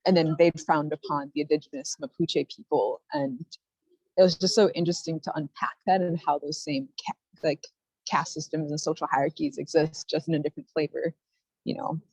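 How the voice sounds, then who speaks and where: This is a young woman with a low voice, speaking on a video call. She answers reflectively, restarting her phrases and peppering them with "like." The sound is dry and close. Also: fairly even intonation, fairly quick, a little mellow, slightly rough, dry recording, low-quality recording. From United States. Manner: thoughtful, many "like" fillers and restarts. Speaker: study abroad alumna panelist.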